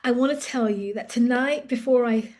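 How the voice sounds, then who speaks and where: A woman's voice: fairly high pitch, moderate pace, darkish tone. A British woman in her late fifties, a leadership coach giving a casual, chatty update straight to her viewers, with frequent fillers and restarts. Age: 50s. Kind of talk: casual direct-to-camera update.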